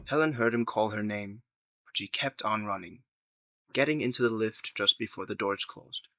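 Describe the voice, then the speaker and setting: A man's voice: on the low side, normal speed, pushed and bright, no echo. A man narrating a scripted story in the third person as the narrator of an audio drama.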